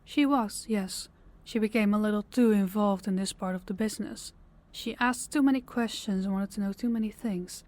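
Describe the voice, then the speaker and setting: A woman's voice: mid-range voice, moderate pace, a little mellow, lightly acted. Woman acting as a composed, commanding female crime boss. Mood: businesslike, firm. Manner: businesslike, authoritative. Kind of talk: scripted audio roleplay acting.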